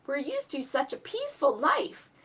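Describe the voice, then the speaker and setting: A woman's voice: sits high, very animated, moderate pace, a bit bright, far from the mic. A woman reading the part of a resourceful, grumbling female wood carver in a storybook, with dramatic expression. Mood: grumbling, exasperated.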